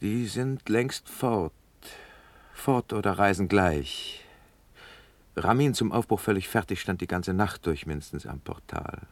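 An actor playing a brisk, impatient, down-to-earth officer in a classical German radio play, with a blunt, exasperated delivery. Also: male voice, sits low, lively intonation, dry recording. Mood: impatient, teasing.

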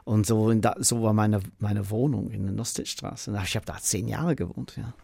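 Older English-accented man, gravelly and husky. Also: fairly low pitch, normal speed, a little mellow, no echo, clean studio sound. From Manchester, England. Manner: rambling, repeats words. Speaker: interview guest. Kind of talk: casual anecdotal storytelling.

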